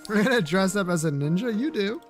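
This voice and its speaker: Guy streaming a game, high voice goes up and down a lot, slangy n sweary, opinionated, bit of music behind. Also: animated, brisk. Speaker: livestreamer playing a video game. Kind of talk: casual gaming chat, reacting to viewers.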